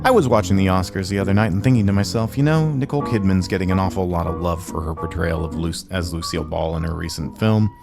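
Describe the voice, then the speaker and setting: A man's voice: low-pitched, quick pace, vocal fry, hardly any pauses. A man hosting a show, reading a scripted introduction to old radio programs. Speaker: podcast host. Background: guitar music.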